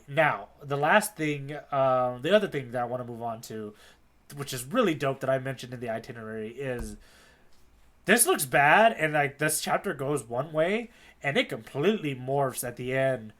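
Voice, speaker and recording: A man co-hosting a podcast in casual, informal, slangy discussion with an animated delivery. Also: medium pitch, unhurried. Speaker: podcast co-host. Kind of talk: casual manga discussion chat.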